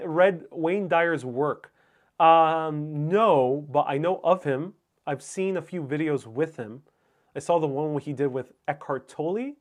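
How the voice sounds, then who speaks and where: A man whose first language is Spanish, hosting a livestream in a casual, musing, somewhat hesitant way as he reads and answers viewer comments. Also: fairly high pitch. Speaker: livestream host.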